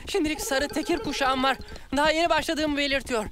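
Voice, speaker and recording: A woman voicing an eager, boastful young cat ninja trainee in a children's cartoon, with an excitable, showy delivery. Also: high-pitched, moderate pace, natural voice. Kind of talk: animated children's cartoon dubbing. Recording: loud cartoon music underneath.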